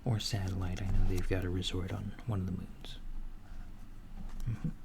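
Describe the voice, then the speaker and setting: A man playing a calm alien doctor disguised as a human, speaking softly and hesitantly in a gentle, clinical ASMR roleplay. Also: deep voice, moderate pace. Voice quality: soft, breathy, near whisper. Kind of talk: soft-spoken ASMR roleplay. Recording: lo-fi with clear background noise.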